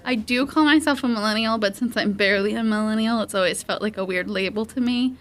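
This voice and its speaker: A woman's voice: medium pitch, fast. A woman in her twenties giving casual answers in an interview. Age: 20s.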